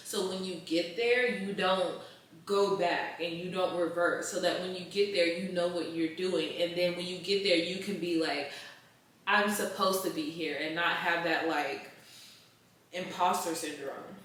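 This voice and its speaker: Echoey, bright-voiced woman in her late twenties. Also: fairly low pitch. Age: late 20s. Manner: blunt, emphatic, informal slangy talk. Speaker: podcast host. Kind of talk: casual advice chat. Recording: roomy echo, distant mic, faint noise.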